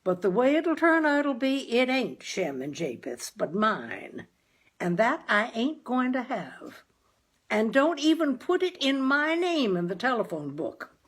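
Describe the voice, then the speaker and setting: A woman's voice: a little high, sing-song, a little slow, voice pushed up. A woman voicing a stubborn, grumpy father in a storybook reading, with blunt, folksy, declamatory speech. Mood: stubborn, dry.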